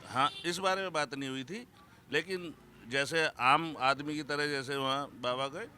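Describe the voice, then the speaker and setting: Man, 65, member of the public, highish and very bright voice, casual street opinion. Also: moderate pace, slight background noise. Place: outdoors on a street.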